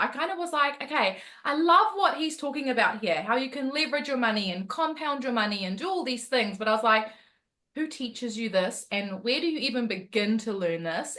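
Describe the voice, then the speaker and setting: A woman with a New Zealand accent tells her story on a video call, her voice bright and forceful and pitched a little high. She speaks as a motivational coach, lively and quick to laugh. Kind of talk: webinar talk on a video call.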